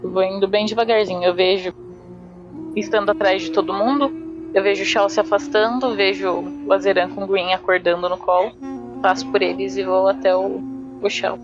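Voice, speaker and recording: A woman's voice: mid-range voice, fairly even intonation, brisk. A woman as a tabletop RPG player, casually narrating her character's moves. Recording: dull lo-fi mic, music behind. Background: music.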